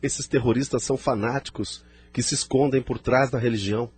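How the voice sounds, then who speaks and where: A man's voice: medium pitch, fairly even intonation, brisk, a little mellow, husky, no echo, faint music behind. A man with a Brazilian accent playing a grave, knowing spirit researcher in an audio drama, emphatic and formal.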